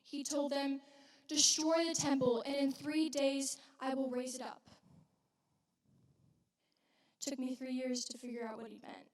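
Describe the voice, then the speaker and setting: A woman playing a widowed young mother from biblical Galilee in a dramatic church monologue, speaking earnestly and haltingly with weighted pauses. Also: sits high, flat delivery, lightly acted. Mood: intense. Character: widowed young Galilean mother, wounded, reverent witness of Jesus. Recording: echoey hall, distant microphone.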